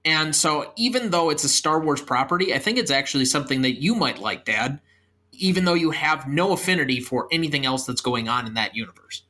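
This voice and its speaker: Bright-voiced young man hosting a podcast. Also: fairly high pitch, expressive. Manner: earnest and explanatory, then brisk read-out. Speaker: podcast host. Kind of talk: casual podcast chat and scripted outro.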